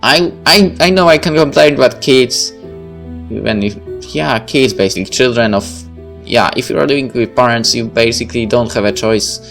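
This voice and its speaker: A man's voice: mid-range voice, normal speed, creaky, fairly bright tone, faint music behind. A man reading a story game aloud and commenting on it as he plays. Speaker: gamer reading a visual novel aloud and commenting. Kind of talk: dramatic read-aloud of first-person fiction with casual asides.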